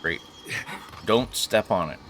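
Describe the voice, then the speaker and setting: A man's voice: on the low side, slow, fairly bright tone, a little hiss or hum. A man doing casual, joking let's-play commentary, chatting to himself and to game characters. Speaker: gaming streamer. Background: video game ambience.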